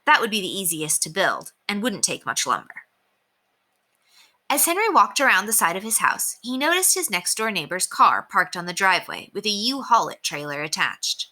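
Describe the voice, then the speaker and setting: A woman reading a children's book aloud as narrator, expressive and emphatic. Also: medium pitch, normal speed. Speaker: storybook reader.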